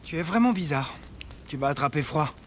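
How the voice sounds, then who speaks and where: Male actor as teenage noble boy, cartoon romance, highish voice, soft, solicitous, teasing, rainy background noise. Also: lively intonation, fairly quick, lightly acted. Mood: teasing, considerate. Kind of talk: French dub of animated romance series.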